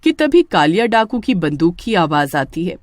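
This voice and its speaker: A woman narrating a scripted story as an expressive storyteller. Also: lowish voice, normal speed, no echo.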